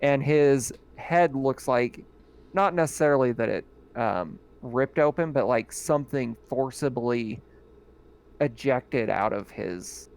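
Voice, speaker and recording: A man runs a tabletop roleplaying session as game master. His voice is smooth and clear at a middle pitch, and he describes gory scenes with plenty of fillers and reads rules aloud. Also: lively intonation, slow, a little mellow.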